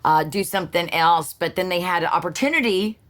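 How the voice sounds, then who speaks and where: A woman's voice: on the low side, fairly quick. A woman who hosts her own channel, giving a blunt, sarcastic political monologue full of profanity and restarts. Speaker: political commentary host. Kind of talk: casual opinion monologue.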